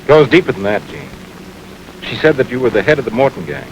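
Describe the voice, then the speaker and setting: An actor playing a blunt, steady detective. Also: male voice, mid-range voice, fairly quick, background noise, lightly acted. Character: firm male detective, adult. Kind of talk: 1940s film acting.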